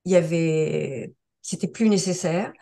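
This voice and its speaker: A woman's voice: deep voice, measured pace, creaky, dark tone. A woman around seventy, from France, telling her story as an interview guest in a casual, hesitant way, with many fillers and restarts. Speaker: interview guest sharing a testimonial. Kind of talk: casual spoken testimonial.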